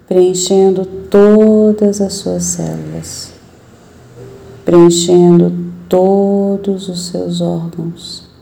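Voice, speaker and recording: Dark, slow-voiced Brazilian woman guiding meditation. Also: fairly low pitch, creaky, low-quality recording. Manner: soothing, gentle, unhurried with long pauses. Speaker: meditation guide. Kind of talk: guided meditation.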